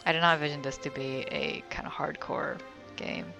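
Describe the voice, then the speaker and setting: Low, gravelly young woman streaming. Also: fairly even intonation, brisk, over music. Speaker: video game streamer. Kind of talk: casual let's play commentary.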